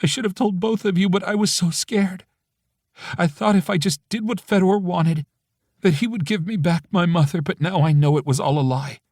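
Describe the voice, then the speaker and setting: A frantic, tearful young woman begging on a phone call, her voice cracking as she pleads, put on by a male narrator. The voice sits well above his own low register and comes through close and dry. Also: moderate pace, darkish tone, husky, dry recording. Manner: pleading, voice breaking with tears.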